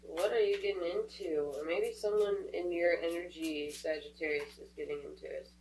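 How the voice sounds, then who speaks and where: A woman's voice: fairly low pitch, fairly even intonation, normal speed, a little mellow. A woman doing a casual tarot reading, stuffed up from a cold, chatty and thinking aloud as she goes. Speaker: tarot reader. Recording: voice a bit distant from the mic, faint hum.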